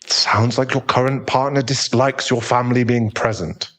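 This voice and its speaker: A man playing a calm, frank professional therapist in an audio drama, speaking in a measured, formal, tactful way. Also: medium pitch, natural voice. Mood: probing. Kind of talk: dramatic audio roleplay acting.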